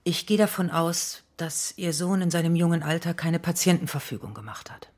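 A woman playing a direct, principled family doctor in a radio play, speaking in a measured, formal way. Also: on the low side, darkish tone, faint music behind, close to an everyday voice. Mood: careful, probing. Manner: measured, matter-of-fact, formal.

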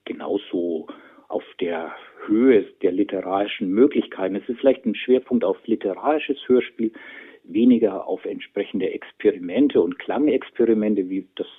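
A man giving expert interview answers in a deliberate, emphatic way, with a husky voice. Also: lowish voice. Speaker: media historian giving an interview. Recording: tinny phone-like line.

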